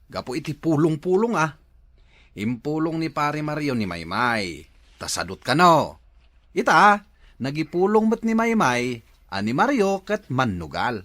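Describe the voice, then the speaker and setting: Actor as an animated, gossipy Filipino husband. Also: male voice, on the high side, unhurried. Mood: excited. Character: gossipy village husband telling the news. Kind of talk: comedy drama acting.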